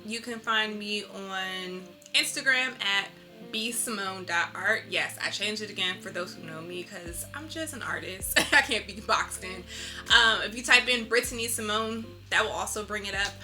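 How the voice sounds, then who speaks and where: A woman speaking as an artist guest in a casual interview, talking in an expressive, conversational way. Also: mid-range voice, moderate pace, faint music behind.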